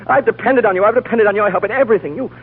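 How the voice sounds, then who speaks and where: A man's voice: sits high, racing, a little hiss or hum. An actor playing a frightened young man who stammers and pleads, in a 1930s radio drama. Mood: pleading. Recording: tinny old radio broadcast with music.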